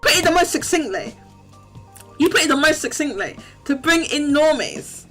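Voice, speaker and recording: A woman hosting a live call-in stream, chatty and upbeat, her voice going a little hoarse. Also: high-pitched, animated, normal speed, fairly bright tone, soft background music. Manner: chatty, restarts often, upbeat. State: losing her voice. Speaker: host.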